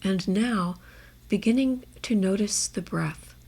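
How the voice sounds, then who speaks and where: A woman leads a guided meditation at a slow, measured pace. Her medium-pitched voice has a creaky edge at times. She gives gentle instructions and leaves room between phrases. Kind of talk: guided body scan meditation.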